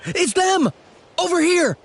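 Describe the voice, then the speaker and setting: A man's voice: extremely high pitch, sing-song, slow pace, slight background noise, full character voice. A man playing a friendly young battle ally in a fantasy video game, earnest and helpful. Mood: excited.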